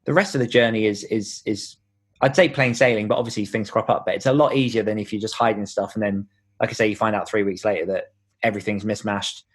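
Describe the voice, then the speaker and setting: A man's voice: lowish voice, fairly even intonation, fast talker, breathy edge. A British man talking in an informal, explanatory way as the guest in a conversational podcast interview.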